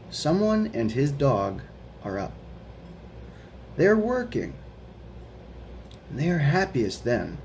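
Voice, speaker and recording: A man reading a picture book to children at an unhurried, deliberate pace, his voice sitting at an ordinary middle pitch. He pauses now and then to chat and ask his young listeners questions. A faint hiss of background noise runs under the recording. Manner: slow, gentle storybook reading with questions to kids. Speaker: guest reader for children.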